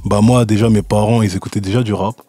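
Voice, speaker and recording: A man's voice: fairly low pitch, steady tone, fast talker, mellow, no echo. A man who raps, talking casually and informally with slang as a guest in an interview. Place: radio studio.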